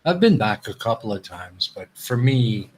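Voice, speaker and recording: A man's voice: on the low side, big pitch swings, normal speed, slight background noise. A man who grew up in Canada with Dutch as his first language, hosting a casual live stream, rambling and repeating himself as he reads viewers' chat.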